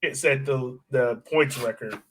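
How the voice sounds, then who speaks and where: A man's voice: medium pitch, unhurried, a bit bright, slight background noise. Casual man chatting about sports. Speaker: soccer podcast co-host.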